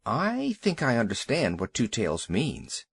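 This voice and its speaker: A man's voice: medium pitch, wildly swooping pitch, a little slow, creaky, breathy edge, dry recording, full character voice. A man putting on a proud, haughty troop horse, a storybook beast with a lofty, clipped manner. The voice is dry and close.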